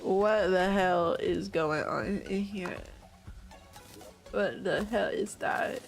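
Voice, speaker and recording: Young American woman, game streamer, unhurried delivery, faint music underneath, casual and laughing. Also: mid-range voice, gravelly. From United States. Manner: chatty, swears casually, laughs often, reacts to chat. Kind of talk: casual gaming livestream chat.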